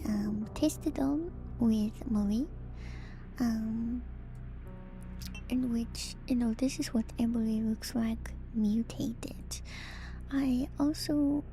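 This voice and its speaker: A woman whispering in an ASMR style as a streamer, reading aloud and musing on it in a hushed, hesitant way. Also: a little high, creaky, rough recording. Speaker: streamer reading and discussing game lore. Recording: music behind the whispering voice. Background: music.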